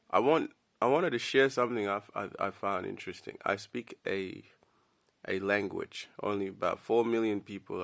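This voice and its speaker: A man's voice: on the low side, creaky, a bit bright. A man whose first language is Dinka, giving a blunt, slangy opinion rant straight to camera, stumbling and repeating words as he thinks. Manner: blunt, slangy, keeps calling the listener "bro". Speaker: vlogger.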